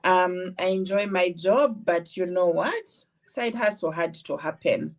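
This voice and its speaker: A Ugandan woman on a video call, a nurse introducing herself in an unhurried way with many hesitations. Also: on the low side. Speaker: guest, registered nurse. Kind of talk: self-introduction.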